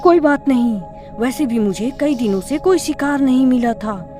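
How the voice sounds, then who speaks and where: A woman acts out a menacing witch from a horror cartoon, haunting an old mansion. She taunts and threatens in a fairly high voice. Faint music plays behind her. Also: normal speed, warm tone, lightly acted. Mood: taunting, menacing. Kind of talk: Hindi cartoon horror story dialogue.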